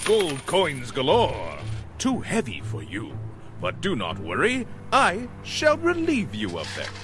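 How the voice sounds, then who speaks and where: A theatrical swashbuckling thief, declaiming slowly with huge swoops of pitch. His grand mock-Shakespearean manner suits a radio serial, and music plays behind. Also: wildly swooping pitch, fairly bright tone, full character voice. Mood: gleeful. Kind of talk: old-time radio serial acting.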